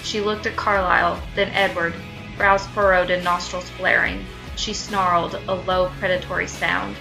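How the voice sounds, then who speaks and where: A woman's voice: fairly low pitch, fairly even intonation, moderate pace, gravelly, music in the background, low-quality recording. A woman co-hosting a comedy podcast, talking casually and reading fan fiction aloud. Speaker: podcast co-host.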